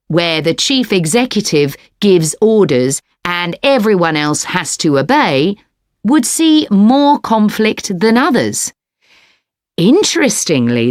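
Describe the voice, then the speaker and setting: Measured woman lecturer, lively intonation. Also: medium pitch, dry recording, clean studio sound. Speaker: lecturer in a listening test recording. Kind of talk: scripted academic lecture.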